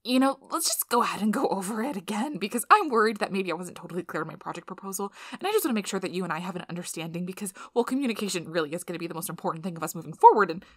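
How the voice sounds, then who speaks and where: A woman playing a nervous young female PhD researcher in an ASMR role-play, flustered, halting and apologetic, with breathy whispered asides. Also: mid-range voice, animated, no echo, clean studio sound, close to an everyday voice. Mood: awkward, eager.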